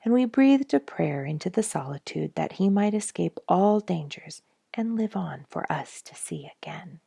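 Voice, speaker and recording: A woman reads a nature storybook aloud in a fairly low voice with a noticeable creak. She phrases deliberately and leans on key words for drama, and the sound is close and dry. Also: animated, dark tone, no room sound. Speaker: children's story reader, regular of the show. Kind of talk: expressive storybook read-aloud narration.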